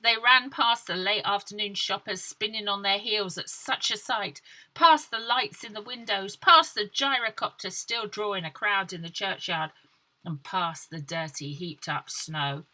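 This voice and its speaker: A woman narrates a children's adventure story. Her voice is very bright and crisp-edged at a medium pitch. She tells it dramatically, with scripted storyteller phrasing. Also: unhurried, rough recording. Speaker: author reading own story.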